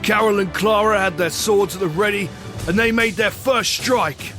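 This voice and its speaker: A man's voice: sits high, moderate pace. A man playing a boisterous, commanding pirate captain who tells his sea tale in a dramatic storytelling style, with music behind him. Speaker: narrator and captain.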